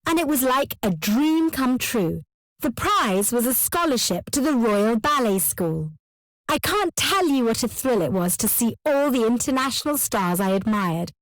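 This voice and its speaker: An actress playing an ambitious, hopeful young ballet dancer from Spain in a monologue for a listening exam. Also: female voice, on the high side, dry recording, low-quality recording. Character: ambitious young Spanish female ballet dancer, starry-eyed.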